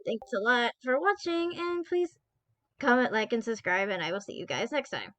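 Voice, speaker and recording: A woman's voice: fairly high pitch, lively intonation, moderate pace, a bit bright. Rambling, swearing young-sounding woman from Texas. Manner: rambling, profane, self-interrupting. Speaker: channel host. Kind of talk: casual chat.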